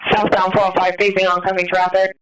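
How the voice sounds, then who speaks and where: Male police dispatcher on scanner audio, even tone, bright, bit distant from the mic, procedural. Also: high-pitched. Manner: procedural, repeats updates in a measured way. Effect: police radio. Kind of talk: police dispatch relaying radio updates.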